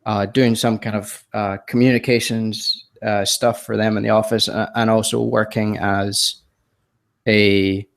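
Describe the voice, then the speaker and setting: A man in his thirties from Scotland, his accent softened by years in the US. He is a podcast guest telling his story in casual chat, hesitant and self-deprecating, with plenty of ums and restarts. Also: on the low side, flat delivery, a little slow, vocal fry. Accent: Scottish, softened by years in the US.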